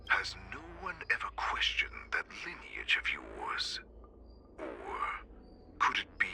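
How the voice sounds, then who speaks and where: Very deep, gravelly wolf warlord. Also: male voice, bright, forceful tone, full character voice. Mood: mocking menace. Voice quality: gravelly, growling, breathy snarls. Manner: slow, menacing, grandiose declarations. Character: ruthless, bloodthirsty wolf-beast warlord, taunting and theatrical. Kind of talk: dramatic video game villain acting.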